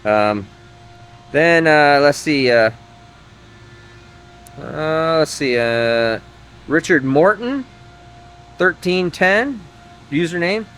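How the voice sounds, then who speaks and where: A man's voice: fairly high pitch, animated, extremely slow, pauses often, a bit bright, clean tone. A man hosting an engine-building video, chatting casually to viewers and thinking aloud, often trailing off mid-sentence. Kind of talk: casual shop talk to viewers. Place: engine workshop.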